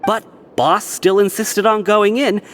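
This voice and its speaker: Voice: mid-range voice, fairly even intonation, slow pace, slight background noise, lightly acted. An actor playing a brusque, dismissive but loyal prison gang member in a video game. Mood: resigned, explanatory.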